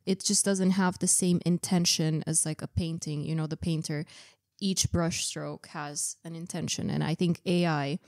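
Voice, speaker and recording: A young woman with a slightly low voice co-hosts a casual podcast. She chats in a reflective way and often says "like". The sound is dry and close, with no room echo. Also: steady tone, normal speed, darkish tone. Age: young adult. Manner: chatty, reflective, uses "like" a lot. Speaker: podcast co-host. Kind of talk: casual chat.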